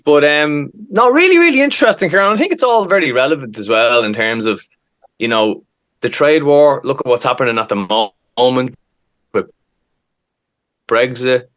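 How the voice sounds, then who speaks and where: An Irish man co-hosts a relaxed history podcast and guides the conversation between segments. He sounds easygoing and speaks at a mid-range pitch. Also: normal speed. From Ireland. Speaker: podcast co-host. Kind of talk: casual chat.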